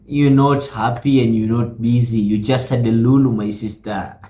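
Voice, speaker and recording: A man's voice: medium pitch, fairly even intonation, moderate pace, warm tone, echoey room, a little hiss or hum. A man hosting a reaction video, commenting in emphatic, preachy asides.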